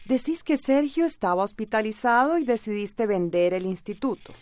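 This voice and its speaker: An actress with a Latin American accent playing a firm, decisive lawyer in a radio soap opera, probing and authoritative. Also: female voice, a little high, animated, normal speed, darkish tone, dry recording, lightly acted.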